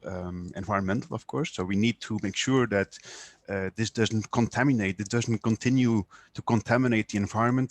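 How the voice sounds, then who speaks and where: A man speaking on an online panel, measured, with many filler sounds. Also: fairly low pitch, no room sound.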